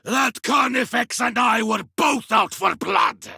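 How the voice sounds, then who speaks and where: An actor playing a brash, zealous, battle-hungry space marine with a rough gravelly voice in a video game. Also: male voice, high voice, very animated, takes it slowly, pushed and bright, no room sound, studio quality, heavily acted. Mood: exultant. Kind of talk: video game combat barks.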